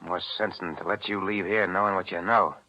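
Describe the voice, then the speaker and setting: A man's voice: low-pitched, steady tone, brisk, noisy background, close to an everyday voice. An actor playing a cold-blooded gangster killer with slangy tough-guy talk in a 1940s radio thriller. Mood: sullen. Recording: muffled vintage radio broadcast.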